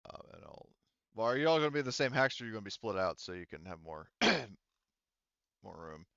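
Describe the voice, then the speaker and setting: Low-voiced man, clear and halting game master. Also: big pitch swings, a bit bright, no echo, dull audio. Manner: halting, conversational explaining. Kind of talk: improvised tabletop game narration.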